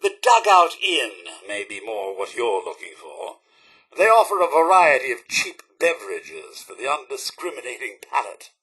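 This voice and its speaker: An actor playing a prim, fussy, snobbish robot butler in a video game, with a British accent and a formal, pompous, theatrically polite manner. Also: male voice, medium pitch, very animated, moderate pace, pushed and bright, husky, heavily acted. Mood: snooty. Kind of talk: scripted game companion dialogue.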